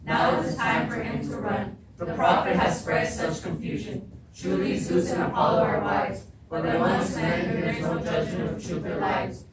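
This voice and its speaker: A woman's voice: on the low side, steady tone, normal speed, long pauses, lightly acted. A young woman acting a cautious, peacemaking chorus of citizens in stage readers' theatre, with a declamatory delivery. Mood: conciliatory. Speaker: drama student. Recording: roomy, distant mic, loud crowd noise.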